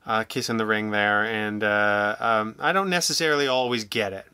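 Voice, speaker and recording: A man in middle age chats casually in a bright, smooth voice. He circles his thoughts with hesitant, rambling phrasing. The sound is dry and close. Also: fairly low pitch, moderate pace, dry recording. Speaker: audio drama creator, interview guest. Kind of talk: casual podcast conversation.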